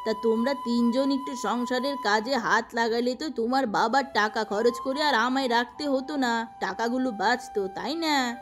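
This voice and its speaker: A woman's voice: on the high side, moderate pace, over music, natural voice. A woman voicing a kindly, humble old village maidservant granny, in a cartoon. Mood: gently advising.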